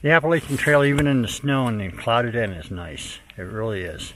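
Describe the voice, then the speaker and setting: An older man casually narrating his hike on a snowy forest trail, talking into a handheld camera with relaxed, rambling pauses. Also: medium pitch, a little hiss or hum, poor audio. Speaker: hiker vlogging a trail. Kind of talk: casual narration while hiking. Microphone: handheld camera at arm's length.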